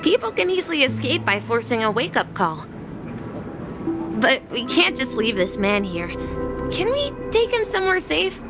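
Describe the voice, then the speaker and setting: High, earnest young guide. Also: female voice, sing-song, normal speed. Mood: reassuring. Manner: gentle, explanatory, courteous. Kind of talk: video game voice acting. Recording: loud music behind the voice. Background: music.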